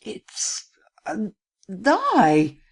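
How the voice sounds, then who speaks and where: A man's voice: a little high, sing-song, normal speed, dark tone. A man voicing a terrified, despairing young poet in an audiobook, stammering and pleading in anguish. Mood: terrified disbelief. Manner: anguished, stammering, gasping pleas. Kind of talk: dramatic audiobook character acting.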